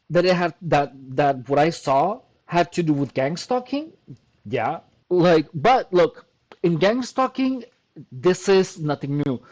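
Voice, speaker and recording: A man with a fairly high-pitched voice talks straight to his audience in a casual monologue. He is emphatic and keeps circling back, often breaking in with "look" and starting his sentences over. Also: darkish tone, poor audio. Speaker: channel host. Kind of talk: casual talking-to-camera monologue.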